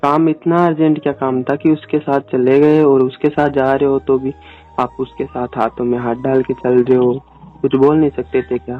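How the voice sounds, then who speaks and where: Man acting jealous boyfriend, young, narrow pitch movement, halting, sullen phone drama, tinny line. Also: little pitch movement, natural voice. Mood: jealous, hurt. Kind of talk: acted phone-call argument in casual speech. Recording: tinny phone-call sound under music.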